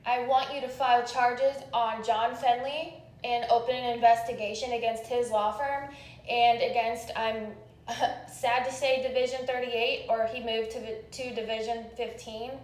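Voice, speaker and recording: A woman's voice: a little high, steady tone, unhurried. Insistent woman caller in an echoey recording. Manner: insistent, detailed explaining. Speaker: caller making a complaint to police. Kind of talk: phone call, recounting a complaint. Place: recorded phone call, echoey. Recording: echoey phone call recording.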